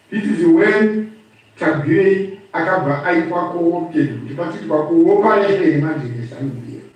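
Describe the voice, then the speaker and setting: A man with a Zimbabwean accent giving an impromptu political address, speaking emphatically like a storyteller and repeating phrases for effect. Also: sits high. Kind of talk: impromptu political address, mixing two languages. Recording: very echoey room, distant microphone.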